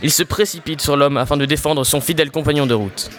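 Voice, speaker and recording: A man's voice: fairly high pitch, fast talker, lightly acted. A man narrating an audio drama in a literary, dramatic storytelling style.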